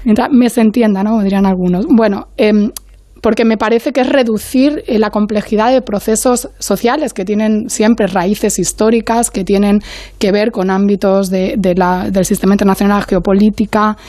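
A woman speaking as an academic guest on a panel, in a measured, analytical way, often searching for her next word. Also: medium pitch, steady tone, dark tone, no echo, studio quality.

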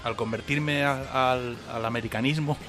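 A man's voice: medium pitch, steady tone, moderate pace, music in the background. A man from Argentina chatting casually and self-ironically as a radio co-host. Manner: joking, rambling, self-ironic.

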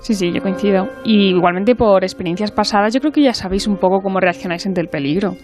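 A woman's voice: mid-range voice, fast talker, a little mellow, soft background music. A young woman with a Madrid accent in a casual radio chat. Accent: Madrid Spanish. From Madrid, Spain. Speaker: radio contributor. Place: radio studio.

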